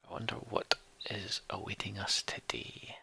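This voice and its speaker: A man hosting a game playthrough, speaking in a hushed voice as he comments and narrates the story text. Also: fairly low pitch, animated, a little slow, vocal fry, slightly rough, in a whisper. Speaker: let's play host. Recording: game music behind the voice.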